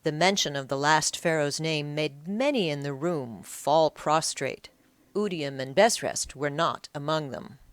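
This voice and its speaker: A woman's voice: low-pitched, unhurried, no echo. A woman narrating an audiobook in a dramatic, scripted storytelling style.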